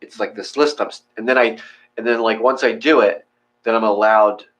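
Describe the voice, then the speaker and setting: A man's voice: lowish voice, steady tone, moderate pace, a bit bright, poor audio. A man hosting a meditation session, chatting casually in a rambling, informal way and often restarting his sentences. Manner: rambling, informal, self-correcting. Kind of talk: casual chat after guided meditation.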